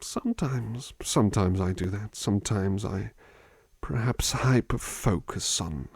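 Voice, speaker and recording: A man playing a gentle, attentive butler in an ASMR roleplay, musing in a polite, hesitant, formal way into a close microphone. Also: deep voice, creaky, breathy edge, no echo, heavily acted. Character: gentle, musing, attentive male butler looking after someone. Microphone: close dry microphone.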